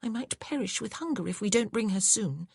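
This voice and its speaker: A woman's voice: mid-range voice, lively intonation, brisk, gravelly, darkish tone, breathy edge, no echo, studio quality, voice pushed up. A narrator voicing a dramatic young lady who complains in a languid way.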